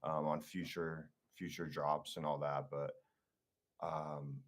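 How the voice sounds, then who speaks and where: A man's voice: very deep voice, flat delivery, slow. A young man, a singer, talking in a laid-back, slangy way as an interview guest. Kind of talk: casual interview chat.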